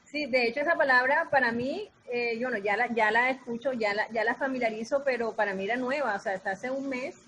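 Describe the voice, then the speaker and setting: High-pitched Colombian woman, noisy call. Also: brisk, hardly any pauses, a bit bright. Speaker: radio theatre collective member. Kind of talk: casual, loosely structured discussion. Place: online video call. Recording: noisy video-call audio with room ambience.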